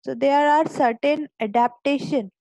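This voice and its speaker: A woman's voice: sits high, measured pace, a little mellow, dry recording. A woman teacher giving an online biology lecture, explaining step by step and often repeating or restarting words.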